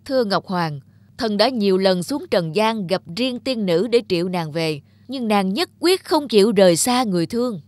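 A man playing a wind god who serves heaven, formal and forceful, in a cartoon fairy tale. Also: sits high, animated, normal speed, no room sound. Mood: deferential. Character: male wind god, deferential courtier, harsh enforcer. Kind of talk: animated fairy tale acting.

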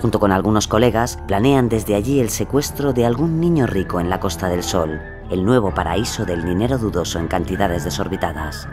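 A man's voice: on the low side, animated, fairly quick, hardly any pauses. A man narrating a true-crime story from a script. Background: music.

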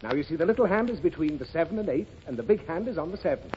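A man's voice: on the high side, lively intonation, rapid-fire, natural voice. A man playing an exasperated, easily flustered father in an old radio comedy, explaining things fussily. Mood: strained patience. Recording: muffled, very noisy old radio recording.

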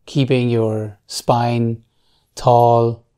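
A man leading a guided meditation in a calm, measured way, leaving long pauses between short phrases. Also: mid-range voice, clean tone.

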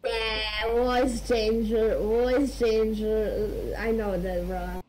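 A woman's voice: on the high side, fairly even intonation, drawn-out words, darkish tone, slight background noise, rough recording. A woman chatting casually over game voice chat, sweary and full of slang. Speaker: random squad teammate.

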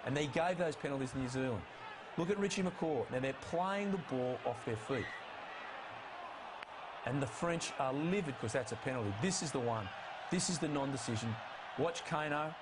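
A man talking through match replays with steady mid-range pitch, stabbing at each call with rising emphasis. There is steady noise audible behind his voice throughout. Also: normal speed. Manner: emphatic, rises in pitch to stress key calls. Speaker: rugby analyst. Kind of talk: studio match analysis.

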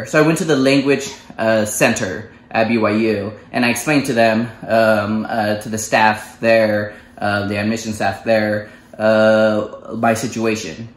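Halting man talking to camera, echoey room. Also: fairly low pitch, fairly even intonation. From United States. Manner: halting, many fillers and restarts, gestures with his hands. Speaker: vlogger. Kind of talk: personal account to camera. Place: small living room, indoors.

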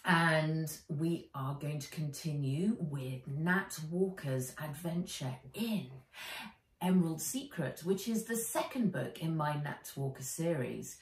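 Slow British woman reader, roomy sound. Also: sits low. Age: middle-aged. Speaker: children's author reading her own book. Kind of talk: storybook read-aloud. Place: bright room by garden windows, indoors. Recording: roomy, a little distant from mic.

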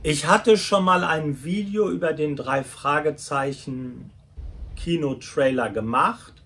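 Slow-talking, slightly husky middle-aged man. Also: fairly high pitch, a bit bright. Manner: halting short phrases while handling items. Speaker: YouTube toy reviewer. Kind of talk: casual unboxing commentary. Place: small furnished room at home, indoors.

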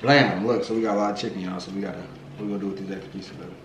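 A man's voice: low-pitched, steady tone, rapid-fire. A young man in a home kitchen, hyping up his cooking to viewers with jokes and sound effects. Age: young adult. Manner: hyped, joking, talks to viewers with sound effects. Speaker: cooking partner. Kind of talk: playful cooking vlog chat. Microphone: camera mic across the kitchen. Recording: echoey kitchen with background noise.

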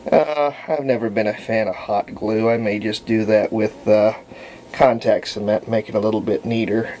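A man giving casual hands-on repair commentary as a hobbyist, thinking aloud in a halting way with folksy wording. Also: medium pitch, darkish tone. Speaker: hobbyist radio restorer. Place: indoor workbench. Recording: noisy handheld camera audio.

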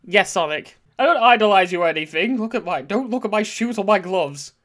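A performer voicing a sassy, excitable young cat in a comic fan dub of game cutscenes, sarcastic and exclamatory. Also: mid-range voice, a little fast, close to an everyday voice. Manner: sarcastic, exclamatory, self-interrupting. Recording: music behind.

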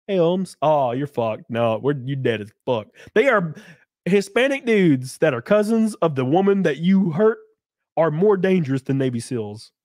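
A man in his thirties hosting a casual live stream from a small home room, close on a boom mic, joking crudely and sounding congested from a cold. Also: dry recording. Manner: crude, joking, laughs often. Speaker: livestream host. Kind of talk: casual live-stream chat reading donations. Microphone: studio mic on boom arm, close.

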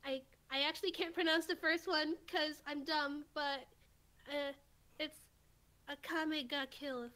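A woman's voice: high-pitched, fairly even intonation, unhurried, bright, forceful tone. A woman in casual group chat as a game contestant, easygoing and reassuring in manner. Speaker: quiz contestant.

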